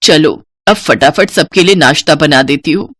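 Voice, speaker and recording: A woman's voice: low-pitched, moderate pace, fairly bright tone, slight background noise, voice pushed down. A woman voicing a practical older mother in a story, instructing firmly with motherly care. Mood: gentle, caring. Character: older mother and mother-in-law, practical, nagging but caring.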